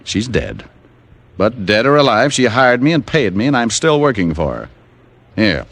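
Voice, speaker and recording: A man playing a flippant, smooth-talking private detective in an old-time radio drama, full of wry quips. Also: on the low side, big pitch swings, moderate pace, fairly bright tone, heavily acted. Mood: sardonic.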